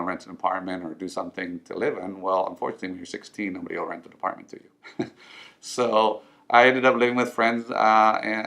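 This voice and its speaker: A man's voice: fairly low pitch, fairly bright tone. A middle-aged man who grew up in Mexico and speaks English as a second language, telling his personal story to the camera in an earnest way with frequent ums and restarts. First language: Spanish. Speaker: financial firm owner.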